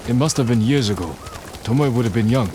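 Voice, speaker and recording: An actor playing a grim, resolute samurai warrior in a restrained video game drama. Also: male voice, mid-range voice, lively intonation, fast talker, darkish tone, a little hiss or hum, close to an everyday voice. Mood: skeptical.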